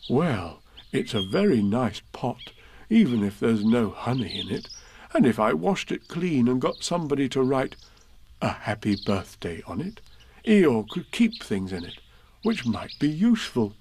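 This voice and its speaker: A man voicing a gentle, simple-minded male bear who talks earnestly, in a storybook read-aloud. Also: medium pitch, big pitch swings, unhurried, slight background noise. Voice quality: earnest, soft-spoken.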